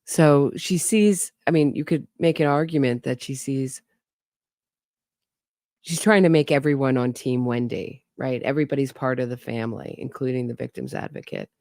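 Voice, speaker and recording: A woman's voice: rumbling low, moderate pace, mellow, no room sound. Skeptical woman talking true crime, wry, chatty, super dry sound. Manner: wry, skeptical, talks to her audience. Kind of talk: casual commentary over interrogation clips.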